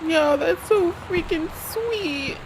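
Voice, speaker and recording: Expressive woman streamer. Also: extremely high pitch, slow, background noise. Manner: expressive, swears, reacts loudly. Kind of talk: live gameplay commentary.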